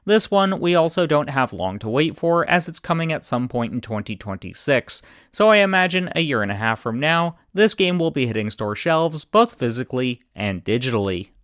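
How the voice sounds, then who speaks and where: Deadpan man, clear and dry. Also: a little high, moderate pace, few pauses, no echo. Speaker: video game commentator. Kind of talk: scripted video commentary.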